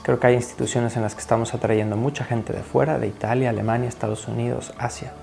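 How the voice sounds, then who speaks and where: A man with a Mexican Spanish accent, a researcher speaking in a measured, articulate way in an interview. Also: medium pitch, little pitch movement, creaky, warm tone, soft background music. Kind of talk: interview answers.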